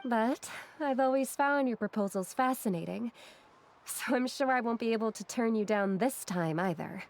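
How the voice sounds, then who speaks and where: An actress playing a gentle, fond female assistant to a scholar in a video game, speaking in a gently teasing way. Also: on the high side, moderate pace, natural voice. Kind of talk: game voice acting.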